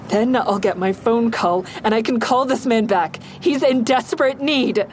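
A woman's voice: fairly high pitch, normal speed, slight background noise, natural voice. An actress playing a defiant, distraught young woman who narrates her own story in an audio drama. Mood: furious, desperate. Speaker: narrator and lead character.

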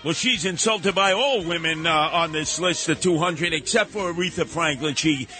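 A man hosting a radio talk show, emphatic and theatrical, repeating words for effect in an animated, opinionated style. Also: fairly high pitch, moderate pace, soft background music.

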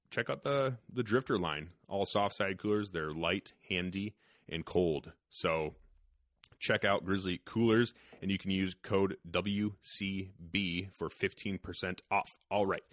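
A man's voice: low-pitched, a bit bright, no room sound, studio quality. A man hosting a podcast in casual chat, profane and rambling, laughing often. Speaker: podcast host. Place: hunting cabin.

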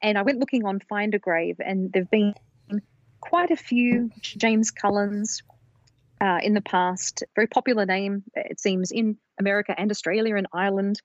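A woman co-hosting a podcast, explaining research in a measured storytelling way on a slightly dull, lo-fi recording. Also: medium pitch, fairly even intonation, no room sound. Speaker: podcast co-host presenting research.

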